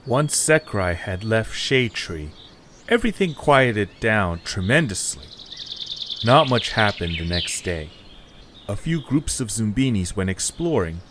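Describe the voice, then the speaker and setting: A man narrating an audio drama in a calm, even, scripted way. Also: on the low side, slight background noise.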